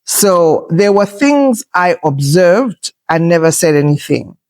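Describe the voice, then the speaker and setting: Slow, assertive woman with a loud voice. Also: on the low side, big pitch swings. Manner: assertive, unhurried, thoughtful with filler pauses. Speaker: podcast host. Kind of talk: reflective conversational talk.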